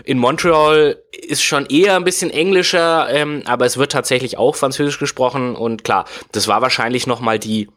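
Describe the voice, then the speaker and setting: A man's voice: medium pitch, moderate pace, hardly any pauses, a bit bright. A man, explaining at length in a casual podcast chat, full of fillers and hedges. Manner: rambling, many fillers and hedges. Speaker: guest explaining game industry history.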